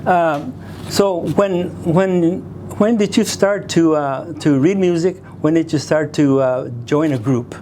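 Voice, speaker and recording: A man's voice: on the high side, slow pace, dark tone, a little hiss or hum. A man hosting a talk show, upbeat and full of encouraging affirmations. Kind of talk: scripted show intro, then casual interview.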